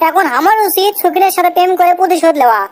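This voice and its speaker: Cartoon teen son, played by a man: very high pitched-up voice, rapid, whiny, brash. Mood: scheming. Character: lazy, excitable, scheming teenage village son. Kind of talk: comic cartoon dialogue.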